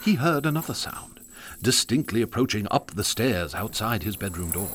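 An actor playing the grandiloquent narrator of a gothic radio drama, telling the scripted tale in a dramatic manner. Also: male voice, lowish voice, very animated, normal speed, background noise. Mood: wry storytelling. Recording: music behind narration.

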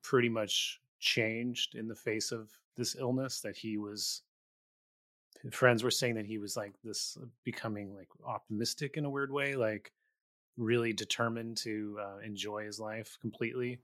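A man's voice: on the low side, steady tone, a little slow, a bit bright, dry recording. Reflective man host, dry close sound. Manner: reflective, searching for words. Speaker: podcast host. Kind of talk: podcast interview conversation.

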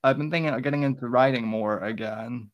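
A young man hosting a livestream, soft-spoken and hesitant, often restarting his sentences. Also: medium pitch, brisk, dull audio, slight background noise. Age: young adult. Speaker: livestream host. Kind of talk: casual livestream chat.

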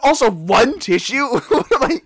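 A man's voice: very high-pitched, big pitch swings, normal speed, a bit bright, no echo. A man co-hosting a podcast, rambling and crude in casual comedic chat, laughing a lot. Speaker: podcast co-host.

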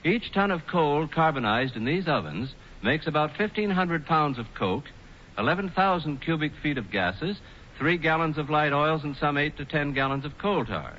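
A man's voice: on the high side, bright, forceful tone, dull audio. An American man reading scripted 1940s radio advertising in an emphatic, declamatory way. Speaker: commercial spokesman. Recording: noisy, band-limited 1940s radio recording.

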